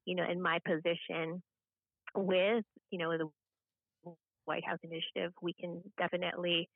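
A middle-aged American woman from Hawaii talking conversationally as an interview guest, in a halting way full of filler phrases. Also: lowish voice, little pitch movement, creaky, husky, no room sound. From Hawaii, United States. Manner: halting, with frequent "you know". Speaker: podcast guest, government official. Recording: slightly dull, lo-fi audio.

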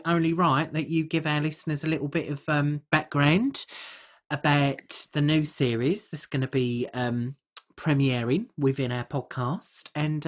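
A podcast co-host with a medium-pitched voice talks in a casual, comic style. The delivery is chatty and rambling, with colloquial grammar that keeps it informal.

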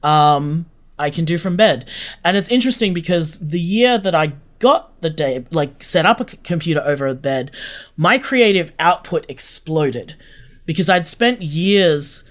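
A man's voice: fairly high pitch, animated, unhurried. A man giving chatty, informal answers as an interview guest. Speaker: interview guest, podcast creator. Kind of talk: casual conversational interview answers.

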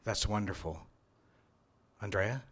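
An actor playing a married advertising boss in a live radio drama, commanding and emphatic yet smitten. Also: male voice, lowish voice, takes it slowly, fairly bright tone, breathy edge, heavily acted. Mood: approving, fond. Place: live on stage in a theater.

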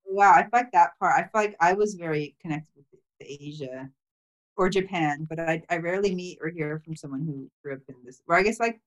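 A woman with a notably low voice talks through her past as a podcast guest. She grew up in Thailand, and her speech is reflective and soft, full of "you know" and small laughs. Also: fairly quick, muffled recording. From grew up mostly in Thailand. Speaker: memoir author, podcast guest. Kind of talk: casual interview chat.

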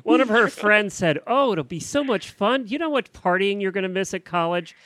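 A man's voice: high voice, lively intonation, moderate pace, fairly bright tone, no room sound. A middle-aged man co-hosting a casual podcast with joking, teasing banter. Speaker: podcast co-host.

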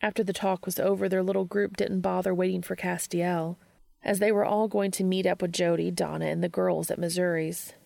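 A woman's voice: fairly low pitch, flat delivery, a little fast, creaky, a little mellow, dry recording. A woman narrating a fiction audiobook, reading scripted prose.

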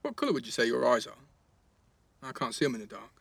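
A man's voice: medium pitch, sing-song, fast talker, gravelly, fairly bright tone, slightly rough, dry recording, exaggerated voice. A man playing a cheeky, restless teenage schoolboy in care, blunt and slangy, in a naturalistic audio drama. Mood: soft, teasing.

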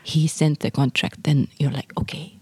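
A woman's voice: deep voice, fairly even intonation, moderate pace, slight background noise. A woman with an Estonian accent, talking casually in a conversation. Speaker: performance maker, guest.

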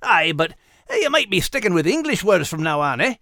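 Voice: mid-range voice, sing-song, fairly quick, fairly bright tone, no echo, studio quality. An actor voicing a jolly, blunt animal character with a Scottish accent and a folksy, teasing manner in playful podcast banter. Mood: puzzled, blunt.

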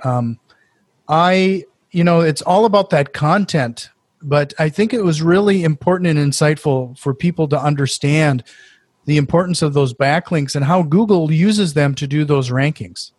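A man's voice: a little high, moderate pace, darkish tone, no room sound. A man with a Norwegian accent hosting a casual podcast interview, enthusiastic and full of restarts. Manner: enthusiastic, many restarts and fillers. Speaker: podcast host.

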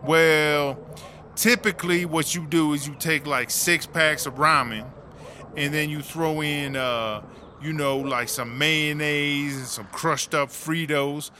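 A man's voice: fairly high pitch, steady tone, takes it slowly, sharp tone, a little hiss or hum. A man playing a sassy, bossy female inmate, talking in emphatic slang in a comedic audio drama. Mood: explanatory, relaxed. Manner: slangy, emphatic, self-promoting.

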